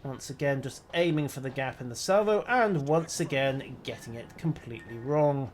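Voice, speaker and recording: Brief: male streamer, mid-pitched, wry muttering play-by-play, faint hiss behind. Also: moderate pace. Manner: dry, wry, muttering self-commentary. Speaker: game streamer. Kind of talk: live gameplay commentary.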